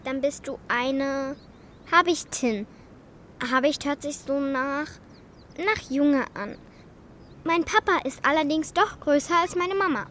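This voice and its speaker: High-pitched, headstrong young schoolgirl. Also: takes it slowly, a bit bright, a little hiss or hum. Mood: curious. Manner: blunt, needling, childlike. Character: headstrong, cheeky young schoolgirl, worried and stubborn. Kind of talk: children's radio play acting.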